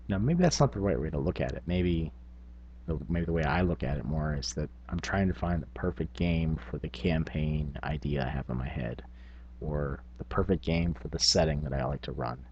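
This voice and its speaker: A man's voice: a bass voice, moderate pace, a little mellow, lo-fi sound, slight background noise. A man hosting a tabletop gaming vlog, talking casually in a rambling, self-effacing, unscripted way. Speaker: tabletop gaming video host.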